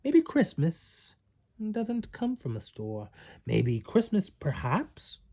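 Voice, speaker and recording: A man's voice: on the high side, wildly swooping pitch, slow pace, dark tone. A man reading a children's storybook aloud to a small child in a dramatic, playful way.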